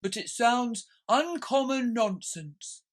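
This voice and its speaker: A woman voicing a melancholy, easily offended turtle creature in a storybook, with a slow, drawn-out, plaintive delivery. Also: fairly high pitch, lively intonation, pitched above the performer's own voice. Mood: persistent, confused.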